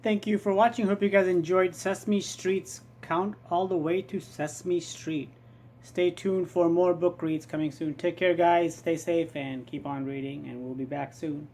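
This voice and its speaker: A woman with a slightly low voice addresses viewers as a channel host. She speaks in the manner of a video sign-off, over faint background noise. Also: steady tone, a little slow, darkish tone.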